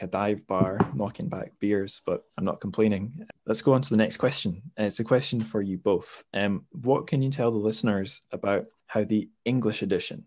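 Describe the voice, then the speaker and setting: A man's voice: lowish voice, darkish tone. A man hosting an interview podcast, chatty and self-deprecating as he asks his guests questions. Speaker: podcast host. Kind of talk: casual interview.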